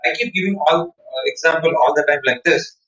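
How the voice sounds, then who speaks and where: A man's voice: on the high side, a little fast, pushed and bright, recorded from a distance. A man with an Indian accent giving an online talk as a public-speaking trainer, explaining emphatically with anecdotes and repeated phrases. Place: online session over video call. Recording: muffled, echoey online call recording.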